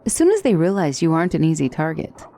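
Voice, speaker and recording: A woman playing a poised, formal demonic tutor in a fantasy ASMR roleplay, speaking as a wise and gently reassuring mentor with measured, courtly phrasing. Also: sits low, sing-song, slight background noise. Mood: reassuring, instructive. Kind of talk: ASMR fantasy roleplay, scripted character acting.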